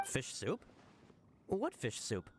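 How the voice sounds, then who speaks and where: A man's voice: mid-range voice, wildly swooping pitch, slow, noisy background. An actor playing an anxious, deferential young male investigator in a video game, earnest, apologetic and flustered. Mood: flustered, defensive.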